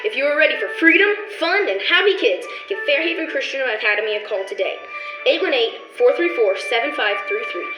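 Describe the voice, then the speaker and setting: A woman's voice: high-pitched, animated, a little fast. A woman reading a scripted sponsor message in the style of an old radio commercial. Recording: roomy recording over music.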